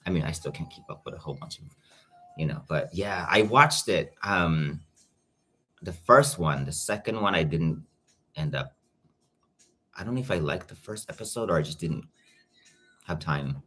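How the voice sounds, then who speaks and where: A man's voice: a bass voice, animated, moderate pace, pauses often, pushed and bright, husky. A young man with a Filipino accent chatting casually on a livestream, greeting viewers by name as he talks. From Philippines. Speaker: YouTube reactor.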